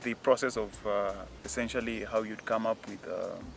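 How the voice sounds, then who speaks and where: A man explaining a technical process outdoors in a hesitant, measured way, with many fillers. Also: on the low side, steady tone, vocal fry, background noise. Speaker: guest expert. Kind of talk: casual explanatory interview. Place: outdoors, windy.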